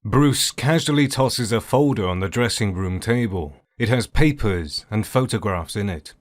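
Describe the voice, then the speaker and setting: A man's voice: fairly low pitch, lively intonation, moderate pace, lightly acted. A man giving scripted narration for an audio drama as its narrator.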